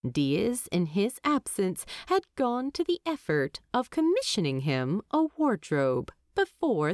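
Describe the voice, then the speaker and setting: A woman narrating fiction from a script as an audiobook narrator, in a measured, expressive storytelling manner. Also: lowish voice, dry recording, clean studio sound.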